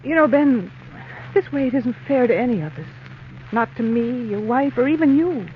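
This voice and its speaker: A woman's voice: a little high, lively intonation, moderate pace, lightly acted. An actress playing a scheming young woman who feigns love in a melodramatic 1940s radio drama. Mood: coy pleading. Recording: old muffled radio recording, faint noise.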